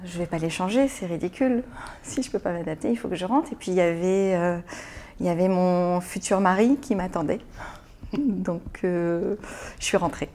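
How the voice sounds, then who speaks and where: A woman born in France, an interview guest answering in a thoughtful, halting way with frequent pauses and repeated words. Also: on the low side, mellow. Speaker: interview guest, business owner. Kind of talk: hesitant conversational interview answers.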